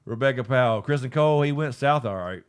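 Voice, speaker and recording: A man's voice: medium pitch, lively intonation, fast, smooth. Guy hosting a live after-show stream, loose and rambling, swears a lot, laughs easy. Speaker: livestream after-show host. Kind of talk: casual live chat, reading viewer comments.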